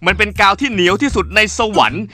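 A man's voice: high-pitched, fast, sharp tone. A man voicing a hot-tempered, scheming and bumbling male deity in a cartoon, blustering and gloating with many exclamations. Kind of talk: exaggerated cartoon dubbing. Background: cartoon music.

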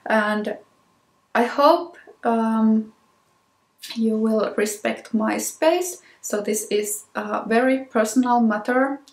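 A woman in her thirties with a Finnish accent, a native Finnish speaker, hosting a knitting podcast in casual talk to camera, unhurried with many ums and restarts. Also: a little high, roomy sound. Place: small room with plain wall, indoors.

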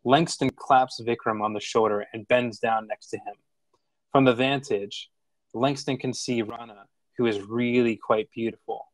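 A man narrating stage directions from a script in a measured, plain way. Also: medium pitch, steady tone, no room sound, poor audio.

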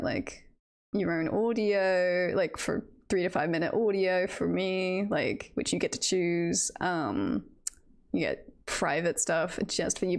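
A woman streamer chatting casually to her live audience in a nervous, excited, giggly and rambling way. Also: mid-range voice.

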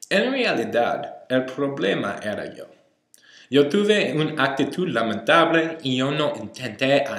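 Slow, careful young man in an echoey room. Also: mid-range voice, a bit bright. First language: English. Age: young adult. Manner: slow, careful reading with self-corrections. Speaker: university student. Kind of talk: read-aloud class presentation. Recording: roomy echo.

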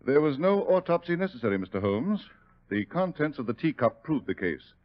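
An actor playing a smooth, formal doctor, politely evasive, in 1940s radio drama style. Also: male voice, fairly high pitch, sing-song, a little fast. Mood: regretful, explaining. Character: courteous, smooth-talking doctor, evasive under questioning. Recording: muffled old-time radio recording.

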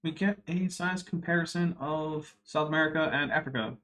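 A man's voice: a little high, moderate pace, a bit bright. A young man casually hosting a livestream from home, chatting wryly and reading viewer messages. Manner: chatty, wry, reading super chats aloud. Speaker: livestream host.

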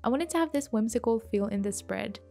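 A woman giving a calm, gentle voice-over for her journal painting video, a little sick from a mild flu. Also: fairly high pitch, brisk, creaky, faint music behind. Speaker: bullet journal creator. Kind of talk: voice-over narration of a craft video.

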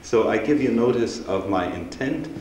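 A man's voice: lowish voice, lively intonation, darkish tone. Older male rabbi, Austrian-raised, reflective lecturer; roomy synagogue hall. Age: 60s. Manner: measured, reflective, unhurried storytelling. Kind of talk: public lecture. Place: synagogue hall, seated on stage before an audience. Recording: roomy hall recording with faint hiss.

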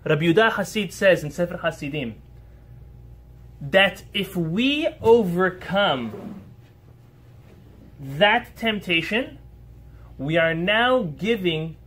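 A rabbi giving an earnest, emphatic Torah lesson to a small live group, posing rhetorical questions and answering listeners' remarks on the fly. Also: male voice, high-pitched, lively intonation, slow, long pauses, a bit bright.

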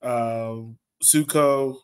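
A man's voice: medium pitch, steady tone, very slow, clean tone. An American man in his thirties hosting a casual sports podcast over a video call. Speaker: podcast host. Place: small room at home, indoors.

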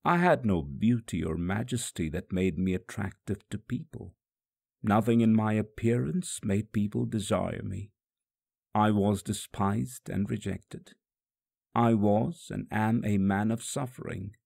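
Man reading as a sorrowful, tender holy man facing execution, very slow, husky, grave storybook monologue, reflective. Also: lowish voice, a little mellow, heavily acted. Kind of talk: solemn first-person dramatic monologue.

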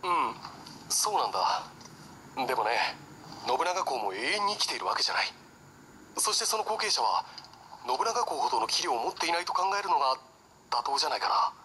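An actor playing a wry, scholarly retired warlord in a video game, musing and mildly weary. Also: male voice, fairly high pitch, big pitch swings, brisk, pauses often, a little hiss or hum. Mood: thoughtful, assured. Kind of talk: Japanese video game cutscene acting. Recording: game audio with loud backing music.

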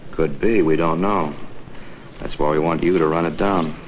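Terse male investigator, deep and dry, faintly noisy vintage crime film sound. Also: fairly even intonation, normal speed, dull audio. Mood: dry, businesslike. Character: businesslike male investigator handing out an undercover assignment. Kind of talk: mid-century crime film acting.

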